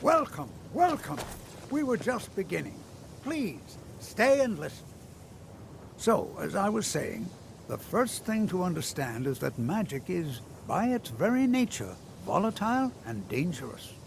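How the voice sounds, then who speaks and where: A kindly old male wizard from a fantasy game, with a creaky voice that rises and falls in a lively way. He teaches patiently and courteously, urging caution, over faint rumbling noise. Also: unhurried, exaggerated voice. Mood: welcoming, instructive. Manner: gentle, courteous, instructing. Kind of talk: video game character dialogue.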